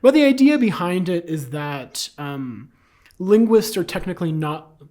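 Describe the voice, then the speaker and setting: A man's voice: high-pitched, sing-song, measured pace, darkish tone. A man whose first language is Bulgarian, explaining ideas fluently in English as a guest in a casual conversation. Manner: fluent, explanatory, with light laughs. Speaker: guest scholar.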